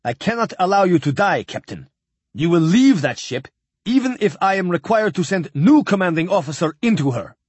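A man's voice: high voice, sing-song, moderate pace, a little mellow, slightly rough, dry recording, pitched above the performer's own voice, full character voice. A man playing a stern clipped fleet admiral. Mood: coldly commanding. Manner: clipped, drops articles, demanding. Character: stern older male fleet admiral, cold and commanding.

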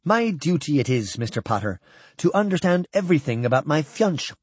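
A man puts on a courteous alien with a Scottish lilt. The pitch swoops widely and the tone is a little husky, formal and dutiful, as in a space novel read aloud. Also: big pitch swings, a little fast, no room sound. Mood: polite, amused. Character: polite, dutiful alien mimicking a Scottish crewman.

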